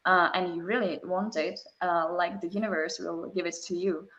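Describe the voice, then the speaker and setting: A young woman, a metal band singer, talking casually in a live chat interview, with many fillers and halting non-native phrasing. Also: on the low side, fairly even intonation, fairly bright tone, dull audio.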